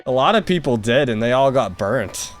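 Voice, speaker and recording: A man's voice: medium pitch, animated, normal speed, faint music behind. A man hosting a podcast in casual chat, joking and laughing often. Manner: jokey, laughs often, mock-pronounces foreign phrases. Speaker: podcast host.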